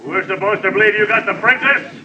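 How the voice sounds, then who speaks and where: A man's voice: high voice, fast, pushed and bright. An actor playing a tough, suspicious, menacing gunman with slangy speech in an old-time radio drama. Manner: slangy, menacing, barked from a distance. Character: tough, suspicious gun-toting villain, loud and menacing. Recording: distant, muffled, noisy old radio recording.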